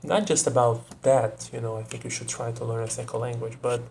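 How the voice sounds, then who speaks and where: Brazilian man, gravelly, searching for words. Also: mid-range voice, steady tone, fairly quick, a little mellow, a little hiss or hum. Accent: Brazilian Portuguese. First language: Portuguese. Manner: searching for words, restarts, frequent "you know". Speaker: video creator. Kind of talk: casual monologue to camera.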